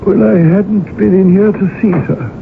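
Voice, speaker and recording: Crackly, narrow old radio sound frames a proper manservant. He speaks in a high, creaky voice, formal and deferential, with fussy precision suited to a period radio play. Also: steady tone, normal speed. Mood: concerned. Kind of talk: old-time radio drama acting. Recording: noisy, narrow-band old radio broadcast recording.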